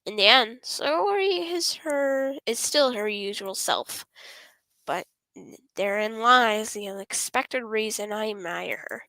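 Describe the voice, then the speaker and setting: High-pitched woman reading a game aloud, unhurried. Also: animated, pushed and bright, no room sound, rough recording. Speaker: streamer reading a visual novel aloud. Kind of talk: expressive reading of game dialogue and narration.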